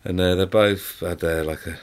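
A man's voice: deep voice, steady tone, moderate pace, clear, resonant tone. A middle-aged English man vlogging from his living room sofa, chatty and rambling with lots of fillers and restarts. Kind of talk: casual vlog chat to camera. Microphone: camera in front, close framing.

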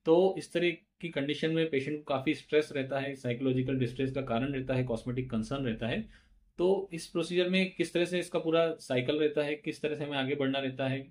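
A man, a surgeon, calmly explaining a medical condition to camera. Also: a little high, fairly quick.

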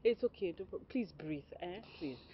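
A woman's voice: lowish voice, expressive, unhurried, breathy edge. A woman playing a soothing, caring hostess. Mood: reassuring. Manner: soothing, reassuring. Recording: dull audio with faint ambience.

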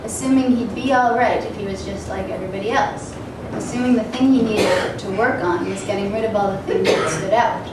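A woman's voice: mid-range voice, brisk, few pauses. A woman in her thirties giving a dramatic reading of satirical fiction on stage. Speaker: reader at a literary event. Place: stage of a small event room with an audience. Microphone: table microphone on a stand. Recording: very echoey hall, distant mic, noisy.

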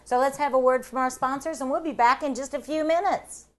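A woman's voice: high voice, fairly quick. Older woman hosting a cooking show. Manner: friendly, upbeat presenter. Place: home kitchen studio.

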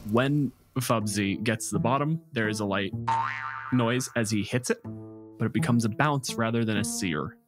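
A man's voice: lowish voice, moderate pace. A man working as game master, narrating a tabletop role-playing game in a conversational, descriptive way. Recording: guitar music behind the voice. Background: plucked guitar music.

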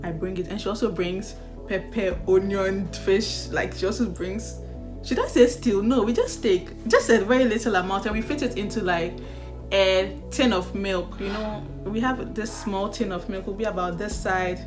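A young woman with a Ghanaian accent chats casually to her viewers. She tells her stories animatedly and laughs or sighs along the way. Her pitch sits in a middle range, and music plays clearly behind her. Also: normal speed. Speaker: vlogger. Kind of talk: casual chat.

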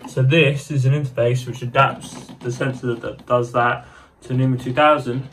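A young man casually narrating electrical work on his small sailboat outdoors, relaxed and rambling with ums and self-corrections, recorded on an action camera. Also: medium pitch, moderate pace, a little hiss or hum. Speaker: sailing vlogger. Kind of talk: casual how-to narration while working.

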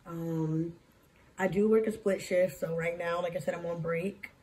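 A young woman with a hoarse, scratchy voice, chatting casually in a rambling way through a life-update vlog, recorded on a phone at arm's length in a small room. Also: lowish voice, fairly even intonation, a little slow, dark tone, distant mic. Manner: rambling, filler-heavy, talks with her hands. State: hoarse, losing her voice. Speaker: vlogger and daycare lead teacher. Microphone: phone camera at arm's length.

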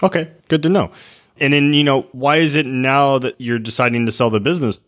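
A man's voice: medium pitch, steady tone, a little fast, a bit bright. A man hosting a business podcast, upbeat and reading listing details from a script before asking questions. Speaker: podcast host.

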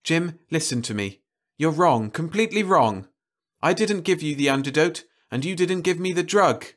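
A man voicing a calm, earnest woman astronaut in a science fiction story reading, with a soft, raised pitch. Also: moderate pace. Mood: calm, firm.

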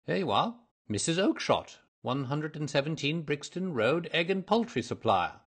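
A man's voice: on the high side, sing-song, normal speed, slightly rough, voice pushed up, exaggerated voice. A man voicing a surly, hot-tempered market poultry seller in a storybook, blustering and combative. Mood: triumphant. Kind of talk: audiobook character voice.

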